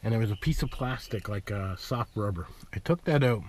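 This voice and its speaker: A man giving a casual, unscripted DIY walkthrough, explaining step by step in a plain-spoken, halting way. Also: lowish voice, animated, a little hiss or hum. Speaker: DIY how-to presenter. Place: in or beside a parked pickup truck.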